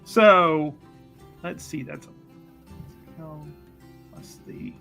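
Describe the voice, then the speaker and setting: A man improvising in a tabletop roleplaying game, with a hesitant, halting delivery. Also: on the high side, creaky. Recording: music playing behind the voice. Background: background music.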